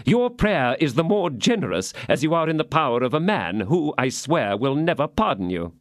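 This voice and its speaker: A man's voice: fairly high pitch, big pitch swings, moderate pace, dry recording, studio quality, pitched above the performer's own voice. A man doing a sneering vengeful baron. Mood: mocking. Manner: mocking, menacing.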